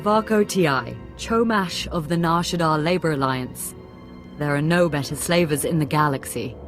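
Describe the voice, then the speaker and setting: An actress playing a poised, businesslike imperial diplomat and spymaster in a video game, speaking formally. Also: female voice, sits low, normal speed, soft background music, close to an everyday voice. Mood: smooth, formal. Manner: formal, polished. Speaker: imperial special operations chief.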